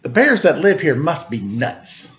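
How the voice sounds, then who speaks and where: A man's voice: fairly high pitch, sing-song, measured pace, highs cut off. A man voicing a rude, tired little storybook girl who is exasperated with everything around her. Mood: exasperated groaning. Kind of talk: storybook character voice.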